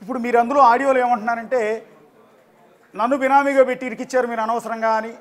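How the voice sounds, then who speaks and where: A man's voice: extremely high pitch, steady tone, very fast. A man anchoring a live news phone-in, repeating himself as he cuts in to question callers.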